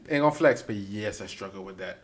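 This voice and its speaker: A man's voice: on the low side, animated, quick pace. Laid-back Bahamian man telling stories. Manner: laid-back storytelling, trails off and restarts. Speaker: podcast co-host. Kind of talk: casual chat.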